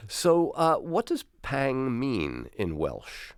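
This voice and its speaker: A man's voice: mid-range voice, huge pitch swings, slow pace, clear, resonant tone, no echo. An older man hosting a radio interview in a studio, asking curious questions. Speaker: radio host. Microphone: studio mic with headphones.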